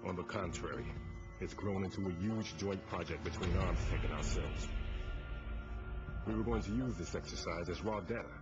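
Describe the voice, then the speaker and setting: A man playing a wary, weary middle-aged government agency chief held captive, speaking gravely and deliberately in a video game cutscene. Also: low-pitched, natural voice. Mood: grave, explanatory. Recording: dull game audio under music. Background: loud music.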